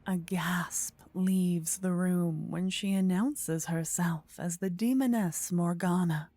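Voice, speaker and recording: A woman narrating a scripted fantasy ASMR story in a soft, breathy, partly whispered voice. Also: on the low side.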